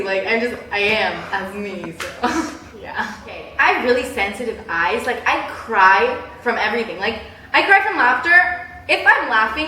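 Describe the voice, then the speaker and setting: A young woman chatting casually in a personal vlog, with a bubbly, animated, giggly way of exaggerating things. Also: on the high side. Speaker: vlogger. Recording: roomy echo, distant mic, background hiss.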